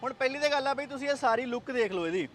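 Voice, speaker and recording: A man's voice: very high-pitched, expressive, quick pace, fairly bright tone, soft background music. A man with a Punjabi accent hosting a chatty, casual car vlog walkaround, often echoing what is said to him. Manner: chatty, echoes the other speaker's phrases. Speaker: car vlogger and host. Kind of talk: casual product walkaround interview. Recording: faint outdoor traffic noise.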